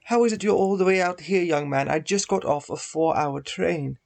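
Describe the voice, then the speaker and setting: A woman playing a grumpy, exasperated older gentleman in a comic radio play. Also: on the low side, moderate pace, vocal fry.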